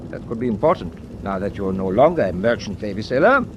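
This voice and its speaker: A man playing a courteous but stern German sea captain in a radio war drama, speaking in a formal, measured, condescending way. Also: lowish voice, very animated, dull audio, background noise. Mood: condescendingly approving.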